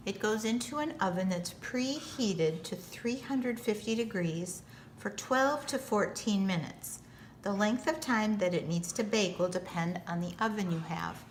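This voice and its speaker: An American woman giving patient, step-by-step cooking instruction in simple, plain terms. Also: medium pitch. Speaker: teacher giving a cooking demonstration. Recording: noticeable background noise.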